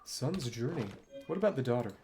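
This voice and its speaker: A man streams a video game with casual running commentary. His mid-pitched voice swings up and down in a lively way as he thinks aloud, with music playing behind him. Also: fairly quick. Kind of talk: casual let's-play commentary.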